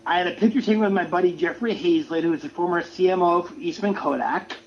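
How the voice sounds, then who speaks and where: A man giving an opinionated, casual rant on a livestream, halting and often repeating his words. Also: high voice. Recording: muffled lo-fi audio with noise.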